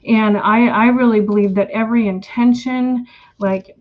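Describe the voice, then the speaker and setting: An earnest, encouraging woman speaks at a mid pitch, repeating phrases to drive her point home. Her voice comes through dull, muffled video-call audio. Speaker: co-host and writing coach. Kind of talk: motivational casual chat.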